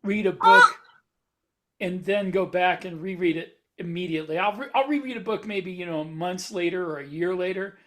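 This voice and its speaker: High-pitched man in casual podcast chat. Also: normal speed, heavy background noise. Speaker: novelist and podcast co-host.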